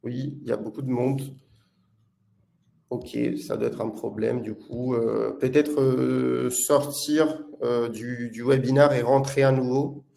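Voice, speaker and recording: A man from Italy speaking with an Italian accent, presenting a live webinar as a product manager in a halting delivery full of filler sounds. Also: mid-range voice, little pitch movement, vocal fry, warm tone.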